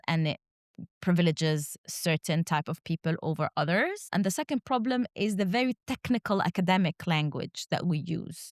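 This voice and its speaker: Low-voiced woman, Arabic native, measured. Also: no room sound, studio quality. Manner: measured, rhetorical "right?" tags. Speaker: guest academic. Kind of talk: reflective academic interview.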